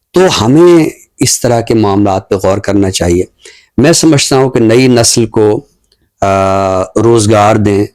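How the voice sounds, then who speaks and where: A man giving thoughtful, earnest commentary on a talk show in a measured, phrase-by-phrase way. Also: fairly low pitch, a little mellow.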